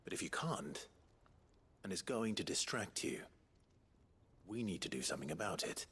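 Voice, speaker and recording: An actor playing a calm, stern rebel leader with a husky voice and a measured, authoritative manner in a video game. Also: male voice, deep voice, exaggerated voice.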